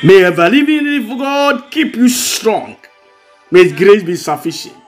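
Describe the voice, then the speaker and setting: A man's voice: sits high, very animated, unhurried. A man with a Ghanaian accent preaching a devotional as a pastor, exhorting and repeating his phrases. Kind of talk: devotional sermon with prayer and blessings. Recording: music bed under the voice. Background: music.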